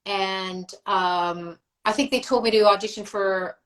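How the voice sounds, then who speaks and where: A woman's voice: mid-range voice, fairly even intonation, unhurried, a bit bright. A middle-aged woman, a voice actress, chatting as a guest on a live video call, rambling through memories with fillers and laughs. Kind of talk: casual livestream chat.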